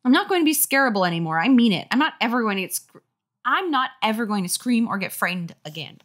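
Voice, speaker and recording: A woman's voice: medium pitch, animated, fairly quick, a bit bright, pitched above the performer's own voice. A woman voicing an exasperated, defensive schoolgirl, insistent and huffy, in a comic story reading. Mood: determined. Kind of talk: hammy comic audiobook reading.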